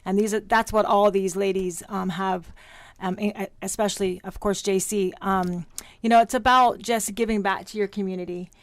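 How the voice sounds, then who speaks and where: Smooth, crisp woman on dry radio mic. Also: mid-range voice, fairly even intonation, moderate pace, no echo. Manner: earnest, many fillers like um and you know. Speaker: pageant director, former pageant winner. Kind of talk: radio interview guest. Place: radio studio.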